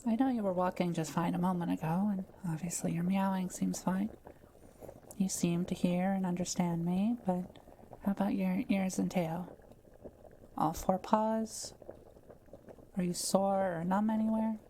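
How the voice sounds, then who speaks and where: A woman playing a kind, soft-spoken witch who loves solitude, speaking gently and reassuringly to the listener in an intimate roleplay audio drama. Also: lowish voice, fairly even intonation, unhurried, a little hiss or hum, low-quality recording. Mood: caring, attentive. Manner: gentle, caring, softly reassuring.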